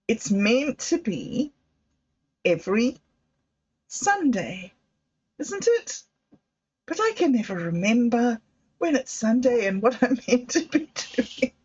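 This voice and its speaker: Slow, unhurried woman telling stories. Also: fairly high pitch, a little mellow, slightly rough. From Zimbabwe. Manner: unhurried, laughing, trailing off. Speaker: livestream host. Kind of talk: rambling personal storytelling. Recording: dull lo-fi sound.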